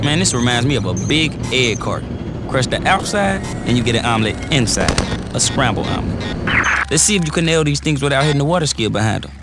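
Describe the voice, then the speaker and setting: A man's voice: medium pitch, close to an everyday voice. A man playing a wisecracking military briefer. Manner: brash, joking, slangy. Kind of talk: video game cutscene briefing.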